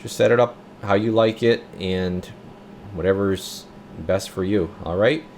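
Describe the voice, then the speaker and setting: Low-voiced man doing a casual demo, background hum. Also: measured pace, darkish tone. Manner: informal, muttering step-by-step to himself and viewers. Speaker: host of a radio repair and test video channel. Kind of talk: casual hands-on demonstration, talking while working.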